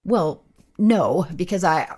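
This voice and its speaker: A woman playing an excitable, practical camera operator in a radio play, talking in a casual, emphatic way. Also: lowish voice, takes it slowly. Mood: hesitant, resigned. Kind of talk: radio play, naturalistic dialogue.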